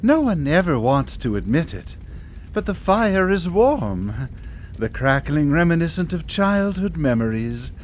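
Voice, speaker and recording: A woman's voice: sits low, very animated, measured pace, a little mellow, a little hiss or hum. A woman acting a sly, bitter crematorium officer in a live radio horror play, savoring her words theatrically. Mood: eerie, savoring. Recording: live studio radio play, music behind.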